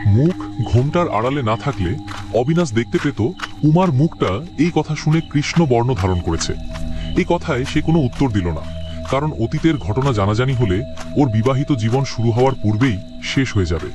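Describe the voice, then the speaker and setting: A man's voice: mid-range voice, animated, normal speed, music in the background. A man narrating a horror cartoon story in a grave, suspenseful way.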